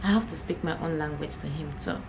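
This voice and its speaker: A woman's voice: deep voice, fast talker, gravelly, background noise. A young Nigerian woman, an Igbo speaker, chatting casually to camera as a vlogger, laughing often and repeating herself.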